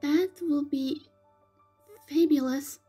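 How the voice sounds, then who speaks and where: Bashful young hedgehog girl in love played by a woman, extremely high and slow, sweetly girlish, over music. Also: fairly even intonation, mellow. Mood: sweet, dreamy. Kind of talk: amateur comic dub voice acting.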